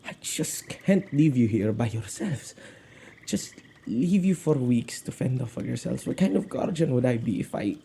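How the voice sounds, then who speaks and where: A man plays an anxious male witch and guardian of spirits in an ASMR audio drama. His voice is very dark and mellow with a gravelly crackle, and his delivery is intimate, expressive and halting. There is faint noise behind him. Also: medium pitch. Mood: agitated, pleading.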